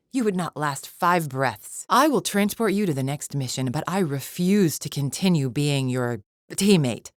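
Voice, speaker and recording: A proud female alien gladiator for a video game. Her voice sits low, with formal, scornful diction and an impatient, superior temper. The sound is dry and close, free of room echo. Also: exaggerated voice. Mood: disdainful. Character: haughty, impatient alien warrior woman, proud arena champion. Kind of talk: video game character acting.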